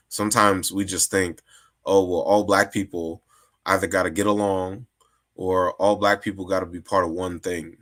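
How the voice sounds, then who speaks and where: A man's voice: low voice, level tone, measured pace, fairly bright tone. A man in a casual discussion, often restarting and repeating words as he builds his argument. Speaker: guest.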